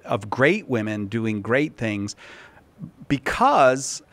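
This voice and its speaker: A man's voice: medium pitch, sing-song, slow pace, a bit bright, dry recording. A man in his fifties hosting a radio show, asking long questions in a searching, hesitant way with many restarts, on a studio mic with headphones. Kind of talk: interview questions.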